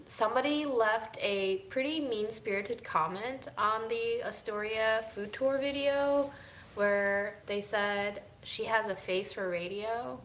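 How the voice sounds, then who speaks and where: Woman vlogger, fatigued, slow reflective chat, faint rumble behind. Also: roomy sound. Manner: slow, musing, drifting between soft and emphatic. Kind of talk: casual reflective chat to camera.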